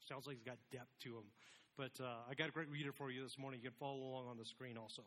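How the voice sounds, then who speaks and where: A man's voice: medium pitch, steady tone, fast, a bit bright. A man preaching an informal, conversational sermon as a pastor, with a casual, self-deprecating manner.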